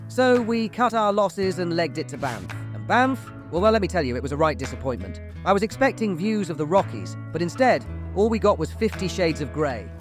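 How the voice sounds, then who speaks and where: A man's voice: fairly high pitch, voice pushed up. A man voicing his own sarcastic British inner voice in vlog voice-over, cheeky and grumbling. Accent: British, put on.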